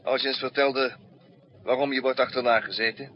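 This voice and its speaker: An actor playing a gruff, unflappable middle-aged pilot in a 1960s Dutch radio drama, talking in dry, laconic grunts and short phrases. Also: male voice, medium pitch, fairly even intonation, fairly quick, natural voice. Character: gruff, unflappable veteran male airline pilot. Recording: muffled 1960s radio recording, noisy background.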